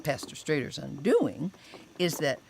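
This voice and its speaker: A woman's voice: low voice, sing-song, gravelly, slight background noise, heavily acted. An actress playing a chatty small-town older woman who loves to tell a story, stretching out her words for effect. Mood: gossiping. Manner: chatty storyteller, drawn-out emphasis. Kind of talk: radio drama acting.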